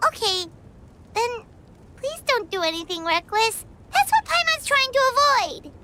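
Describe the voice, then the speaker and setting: A woman voicing a tiny, excitable, childlike fairy companion in a video game, squeaky and eager. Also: a little slow, heavy background noise, heavily acted. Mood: earnest, eager. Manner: squeaky, eager, speaks in third person. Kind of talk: video game story voice acting.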